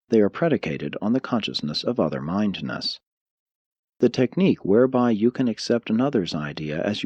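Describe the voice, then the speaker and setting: A man narrating an audiobook, reading formal scripted philosophical prose in a measured, declarative manner. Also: lowish voice, darkish tone, husky, no room sound.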